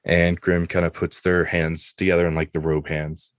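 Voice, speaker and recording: A man's voice: low voice, little pitch movement, moderate pace, no echo, studio quality. A man narrating as game master, improvising the scenes of a tabletop roleplaying game.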